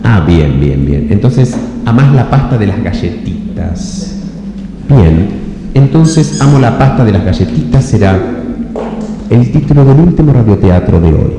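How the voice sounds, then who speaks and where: A man's voice: fairly low pitch, sing-song, measured pace. A man with a Rioplatense Argentine accent hosting a radio theatre show, playfully interviewing an audience member. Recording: very echoey hall with music.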